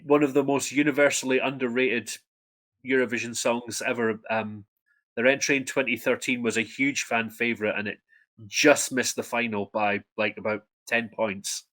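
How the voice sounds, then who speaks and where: A young Scottish man co-hosting a casual music chat, sharing facts with frequent ums and pauses. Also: medium pitch, fairly even intonation, fairly bright tone. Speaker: co-host, Eurovision fact presenter.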